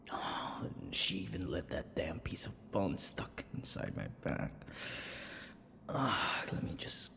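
Male actor as menacing vampire boyfriend, very slow, breathy whispers, swooping pitch, dramatic ASMR roleplay. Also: exaggerated voice. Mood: bitter, scornful. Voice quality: breathy, often dropping to whispers. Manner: slow, dramatic, swinging between tender and unhinged. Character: obsessive, menacing five-hundred-year-old male vampire lover. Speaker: audio roleplay performer. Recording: echoey long reverb, faint noise.

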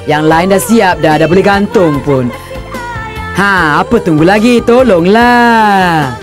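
An actor playing a nagging, exasperated middle-aged housewife in a cartoon, complaining with a Singaporean Malay accent. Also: male voice, sits high, takes it slowly. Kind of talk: cartoon voice acting. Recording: music clearly under dialogue.

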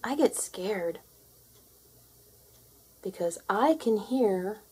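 A woman reading a children's story aloud in a dramatic, expressive storytelling manner. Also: mid-range voice, very slow, husky. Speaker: storybook reader.

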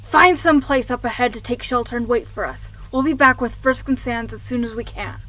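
Brash female monster fighter acted by a woman: high, forceful, impatient, blunt, amateur comic-dub drama. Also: a little fast. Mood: urgent, exasperated. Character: brash, exasperated female fish-monster warrior.

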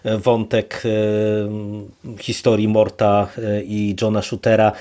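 A man's voice: fairly low pitch, completely flat delivery, slow, mellow. A man co-hosting a podcast, giving an informal review and thinking aloud with hesitant phrasing. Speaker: podcast co-host.

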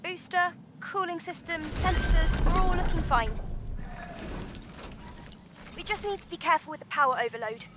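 An actress playing a bubbly, curious young female tech companion in a video game, perky and expressive. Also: very high voice, normal speed, long pauses, slight background noise. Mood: focused. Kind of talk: English video game voice acting.

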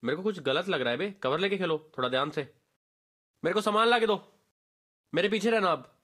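A man's voice: high voice, fairly quick, husky, exaggerated voice. A man voicing a brash, streetwise gamer barking slangy squad callouts for a game voice pack. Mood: excited, urgent. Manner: animated, slangy, bossy.

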